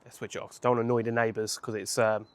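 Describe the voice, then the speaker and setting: A man, hoarse from a cold, chatting casually to camera outdoors on a home patio, rambling and correcting himself as he goes. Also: on the low side, little pitch movement, rapid-fire, no room sound. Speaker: motorcycle vlogger.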